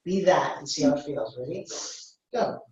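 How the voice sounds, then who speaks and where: A woman's voice: low-pitched, lively intonation, moderate pace, a little mellow. A woman coaching a workshop live, giving short, blunt, commanding instructions and cutting in to correct. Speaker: business coach leading a workshop. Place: indoor reverberant room. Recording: echoey room, distant dull microphone.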